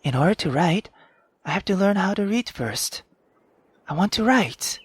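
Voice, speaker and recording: A woman voicing an earnest, thoughtful young girl with a gentle, measured manner in a visual novel read-aloud. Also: medium pitch, expressive, breathy edge, no echo. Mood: matter-of-fact.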